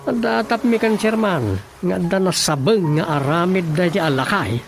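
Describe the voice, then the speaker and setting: A man's voice: sits high, animated, measured pace, gravelly, exaggerated voice. A man with an Ilocano Filipino accent playing a teasing, watchful village councillor in a radio drama. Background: music.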